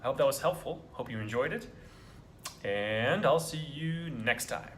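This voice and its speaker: A man's voice: medium pitch, animated, measured pace, slight background noise. A young man from the US talking earnestly to camera in a casual vlog, encouraging viewers with frequent ums and restarts. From United States. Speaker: vlogger.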